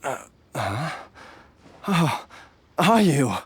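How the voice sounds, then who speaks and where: A man playing a frail, muddled father recovering from illness in a video game, breathy and halting, gentle in his gratitude. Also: on the high side, sing-song, faint music behind, full character voice. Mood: confused, apologetic. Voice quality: husky, breathy. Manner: halting, hesitant.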